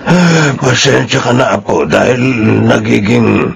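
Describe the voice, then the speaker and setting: A man playing a sick, apologetic elderly grandfather in a radio drama, speaking haltingly with a Filipino accent. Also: medium pitch, lively intonation, creaky, slight background noise. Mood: worried, apologetic. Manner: slow, halting, pausing between phrases. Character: ailing, apologetic elderly grandfather, poor and burdened.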